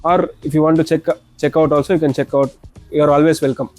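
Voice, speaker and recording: A man's voice: on the high side, level tone, fast talker, a little mellow, soft background music. A man with an Indian accent giving an informal product demonstration as a shop salesman, often repeating words and phrases as he explains.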